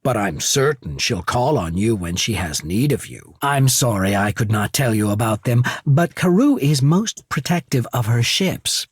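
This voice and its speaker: A man's voice: medium pitch, very animated, moderate pace, hardly any pauses, breathy edge, dry recording, studio quality. A man voicing a composed, courteous and shrewd diplomat of an island empire in a fantasy video game, speaking formally and with understatement. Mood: calm, assured. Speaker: voice actor for a video game character. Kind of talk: scripted fantasy game dialogue.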